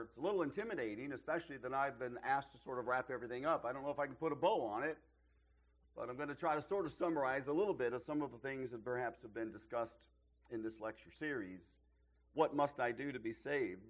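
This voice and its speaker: Man, middle-aged, slightly hoarse, visiting preacher, folksy jokes, emphatic rises. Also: a little high. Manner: self-deprecating, joking, builds to emphatic rises. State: losing his voice. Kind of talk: sermon with folksy humor. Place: church auditorium.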